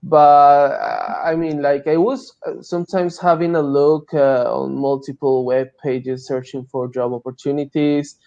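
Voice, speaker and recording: A man's voice: a little high, level tone, measured pace, few pauses, mellow. A man in his early thirties with a Colombian accent, answering casually as an interview guest. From Colombia, Bogota. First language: Spanish. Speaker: interview guest, DevOps engineer. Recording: dull lo-fi online call sound.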